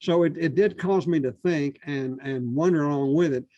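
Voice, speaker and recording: Male panelist giving critique; halting delivery, fillers throughout, slightly high voice. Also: dark tone, no room sound, lo-fi sound. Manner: halting, many fillers and restarts. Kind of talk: casual spoken critique.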